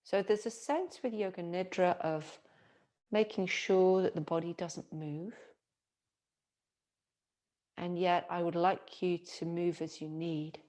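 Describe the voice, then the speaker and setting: A woman yoga teacher guiding a relaxation meditation in a soothing, gentle manner with long pauses. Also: on the low side, steady tone.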